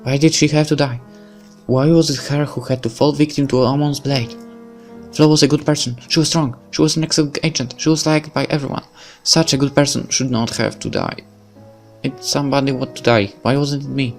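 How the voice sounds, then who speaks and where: A man's voice: medium pitch, fairly even intonation, brisk, creaky, soft background music, pitched above the performer's own voice, close to an everyday voice. A man voicing a grieving young woman agent who blames herself, tearful and self-deprecating, reading a visual novel. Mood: distraught.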